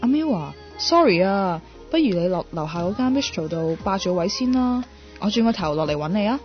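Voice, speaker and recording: A woman's voice: medium pitch, animated, fairly quick, close to an everyday voice. A woman acting a self-possessed career woman in a radio drama, speaking with a Hong Kong Cantonese accent as narrator and character. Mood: brisk, friendly. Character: self-possessed career woman, fashion shop keeper, quietly smitten. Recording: dull lo-fi audio, music underneath. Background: background music.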